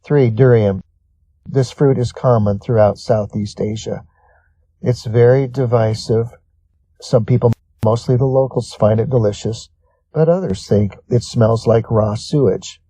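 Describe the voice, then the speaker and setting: A man with a very dark, soft-edged tone reads a prepared article as narrator. His voice is slightly husky and sits at an average male pitch. The sound is close and dry, with little room in it. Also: unhurried, dry recording, low-quality recording. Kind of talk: scripted reading of a list article.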